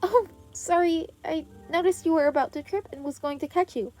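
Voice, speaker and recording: A woman's voice: extremely high pitch, normal speed, dark tone, soft background music, voice pushed up. A woman voicing a nervous, scatterbrained, bubbly teenage girl in an audio story. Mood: nervous, excited.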